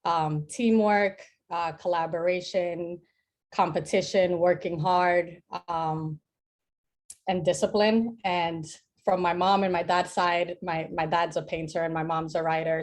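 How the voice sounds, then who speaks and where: A woman from the Dominican Republic, a software engineering director, telling students about her childhood in a calm, measured way. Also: on the low side. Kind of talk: casual class chat with students.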